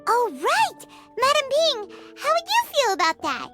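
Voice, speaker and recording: A woman's voice: extremely high pitch, wildly swooping pitch, measured pace, over loud music, full character voice. A woman voicing a tiny, bubbly fairy companion who talks about herself in the third person in a video game. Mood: eager. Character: tiny chirpy floating fairy companion, girlish.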